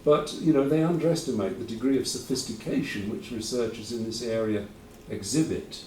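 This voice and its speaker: Male conference host, mid-pitched, loose and chatty, recorded far off in a reverberant lecture hall. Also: brisk, darkish tone, slight background noise. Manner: chatty, rambling, self-correcting with dry asides. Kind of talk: informal conference introduction. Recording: roomy hall, voice from a distance.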